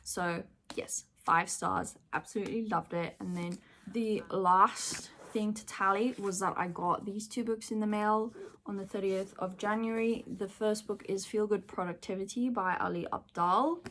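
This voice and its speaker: A woman's voice: medium pitch, fairly even intonation, normal speed, fairly bright tone, a little hiss or hum. A woman whose first language is Afrikaans, chatting casually to camera about the books she has read, with lots of ums. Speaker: booktuber. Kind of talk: casual chat. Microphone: phone camera.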